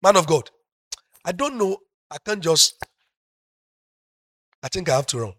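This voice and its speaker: High, lively Nigerian preacher man. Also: measured pace, no room sound, studio quality. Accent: Yoruba-speaking Nigerian. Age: middle-aged. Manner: preaching to a congregation, builds from soft talk to loud passionate shouting. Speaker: pastor. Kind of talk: sermon.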